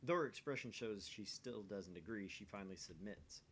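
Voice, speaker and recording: A man reading a visual novel aloud for a let's play, in a dramatic, expressive way with casual asides. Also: fairly low pitch, normal speed, slight background noise. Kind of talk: voice-acted read-through with casual asides.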